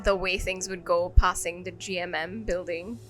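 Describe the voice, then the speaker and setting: A young woman from Singapore co-hosting a podcast, talking in a relaxed, opinionated, casual way. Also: on the low side, normal speed, soft background music. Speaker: podcast co-host. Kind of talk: casual chat.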